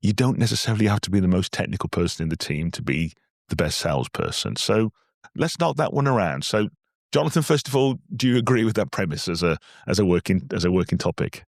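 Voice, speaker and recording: Fast-talking man with a croaky cold. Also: low voice, animated, dry recording, clean studio sound. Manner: chatty, self-deprecating, fast-talking. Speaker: podcast host. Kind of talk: casual podcast chat.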